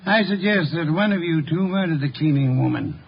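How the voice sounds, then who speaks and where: A dull, faintly hissy 1950 radio recording of an eccentric master detective. He is imperious and unflappable, with a highish voice full of gravel, speaking in precise, ponderous, dryly sardonic phrases. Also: animated, a little fast, exaggerated voice. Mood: assured, accusing. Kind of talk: 1950s radio drama acting.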